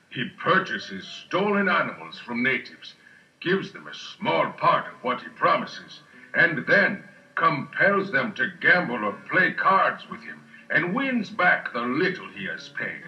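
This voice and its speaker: A gossipy townsman from a storybook adventure, explaining things earnestly. His voice is extremely bright and forceful. The recording is slightly muffled, with faint music behind. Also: medium pitch, sing-song, a little slow, heavily acted. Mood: indignant. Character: townsmen of old California: innkeeper, retired pirate. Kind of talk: dramatised storybook recording acting. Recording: dull, band-limited storybook recording.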